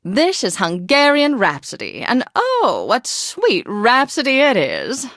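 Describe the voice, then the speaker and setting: A woman's voice: fairly high pitch, huge pitch swings, takes it slowly, fairly bright tone, no echo, clean studio sound, exaggerated voice. An actress playing a flamboyant, martini-loving lady DJ on a classical radio station in a video game, with a theatrical, gushing, old-fashioned manner. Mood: playful, indulgent. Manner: theatrical, affected, gushing, old-time slang and endearments. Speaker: radio host.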